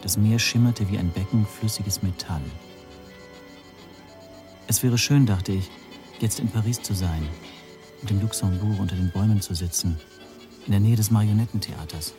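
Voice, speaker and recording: An actor playing an anxious, wry spy suspect who narrates reflectively in the first person in a radio drama. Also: male voice, lowish voice, steady tone, normal speed, long pauses, music in the background, lightly acted.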